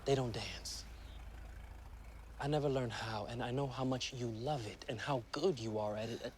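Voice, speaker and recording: An actor playing a flustered, devoted young suitor, earnest and pleading, in a 1920s period TV drama. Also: male voice, medium pitch, moderate pace, a little mellow, slight background noise, natural voice.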